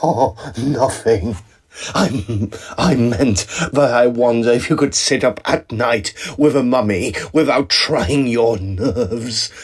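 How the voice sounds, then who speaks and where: A man playing a nervy, morbid young Egyptologist in an audio drama, halting and over-polite, with a husky voice. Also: medium pitch. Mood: nervous, evasive. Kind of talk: acted audio drama dialogue.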